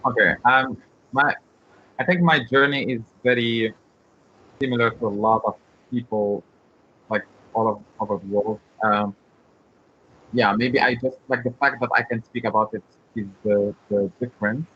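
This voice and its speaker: A man speaks over a muffled online call. His pitch is medium, and he explains things informally in a halting way, often repeating words as he looks for the right phrase. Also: fairly even intonation, breathy edge. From Morocco. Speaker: startup founder, webinar guest. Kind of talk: informal interview answers, explaining.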